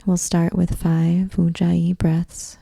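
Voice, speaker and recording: A woman guiding a meditation in a soft, breathy near-whisper, with soothing, measured delivery. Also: on the low side, monotone, no echo. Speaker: yoga nidra meditation guide. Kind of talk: guided meditation.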